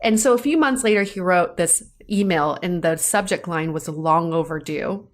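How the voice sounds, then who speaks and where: A woman's voice: on the low side, moderate pace. A woman podcast host in conversation, speaking candidly and narrating in a personal storytelling style.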